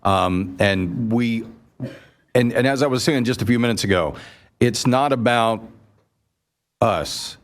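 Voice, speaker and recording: Man, American accent, radio host, medium pitch, live banter, effusive, rambling. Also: takes it slowly, long pauses.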